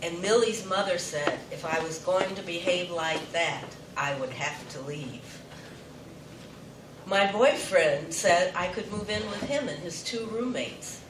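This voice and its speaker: An older woman telling a wry personal story to an audience, unhurried and dry-humored. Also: on the low side. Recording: roomy hall from far off with noise.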